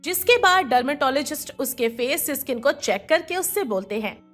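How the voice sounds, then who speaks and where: A woman reading scripted narration for an animated moral story. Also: sits high, moderate pace, faint music behind.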